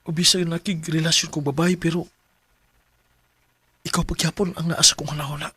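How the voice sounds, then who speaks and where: An actor playing a young lovestruck Cebuano man in an emotive radio drama, with a husky voice and a Cebuano accent. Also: a little high, level tone, brisk, pushed and bright, exaggerated voice. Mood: tender, confessing. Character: young lovestruck Cebuano man confessing and narrating his story.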